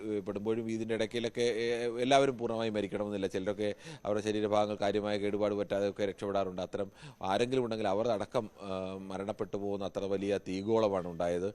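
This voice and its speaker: A male news correspondent with a Malayali accent talks quickly in a very flat tone. He explains events off the cuff, circling back and repeating phrases in long, halting sentences. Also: lowish voice, smooth. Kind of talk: unscripted live news analysis.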